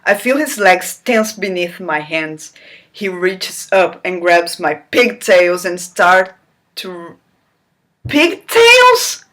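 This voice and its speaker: A woman performs a mocking dramatic reading at a slow pace. Her voice sits slightly low, and her delivery is theatrical, slipping into incredulous, exasperated asides about the text. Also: big pitch swings, a bit bright. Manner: theatrical, incredulous, breaks off into laughing commentary. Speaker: reader.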